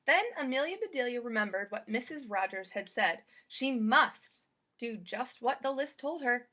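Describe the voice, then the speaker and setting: Female storybook reader, high register, highly expressive melody, talks straight to children. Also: normal speed. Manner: animated, addresses young listeners directly. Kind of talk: expressive children's read-aloud narration.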